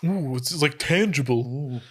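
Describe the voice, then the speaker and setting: A man's voice: mid-range voice, expressive, unhurried, fairly bright tone, husky. A man playing a tabletop game, chatting in casual improvised banter with hesitant, circling phrases.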